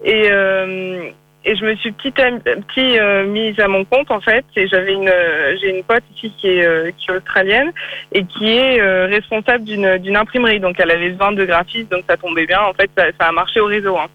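A woman's voice: medium pitch, fairly even intonation, moderate pace, dry recording. A young woman from France with a faint English-tinged lilt, answering interview questions hesitantly, with many fillers and restarts. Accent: slight English-tinged French. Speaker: interviewee, freelance graphic designer. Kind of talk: casual interview over a call. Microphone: phone or call line. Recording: tinny phone line.

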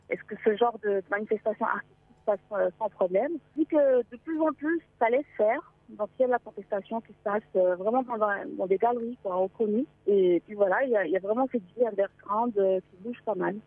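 A woman's voice: mid-range voice, brisk, dry recording. Woman calling in over a phone line. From Morocco. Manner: hesitant, many filler sounds, restarts. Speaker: caller, travel blogger. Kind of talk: phone interview on a radio show. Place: inside a parked car. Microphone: mobile phone.

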